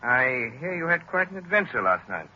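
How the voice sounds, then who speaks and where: An actor playing a genial gentleman in an old radio drama, speaking in an amused, coaxing way. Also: medium pitch, big pitch swings, moderate pace. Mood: teasing. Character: genial, teasing adult gentleman. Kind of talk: 1930s radio drama acting. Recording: dull lo-fi old radio recording.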